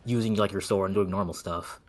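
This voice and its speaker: Deepish dude talking fast, hyped, says like a lot. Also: male voice, steady tone. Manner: quick, enthusiastic, lots of "like" and "freaking". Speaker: podcast co-host. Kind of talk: casual gaming chat.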